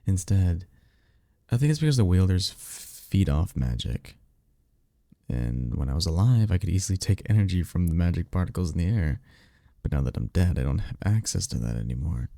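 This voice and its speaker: A man acts out a cocky vampire mentor for a roleplay audio, speaking in a deep, gravelly voice right up in a dry recording. He is easygoing and teasing, with slangy, conversational phrasing and the offhand confidence of a former archmage. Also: dark tone, dry recording, full character voice.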